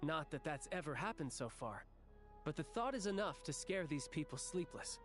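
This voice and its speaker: A man's voice: on the high side, expressive, moderate pace, soft background music, natural voice. A man playing a sly, genteel young official in a video game, speaking in a playful, articulate and theatrical way. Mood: measured, explaining. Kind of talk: video game character acting.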